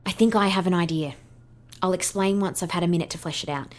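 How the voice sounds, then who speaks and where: A woman's voice: lowish voice, quick pace, natural voice. A woman playing an earnest, determined team leader in an audio drama. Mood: decisive, organised. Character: Vanessa, brilliant, guilt-ridden woman leading the team, determined. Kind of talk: audio fiction acting.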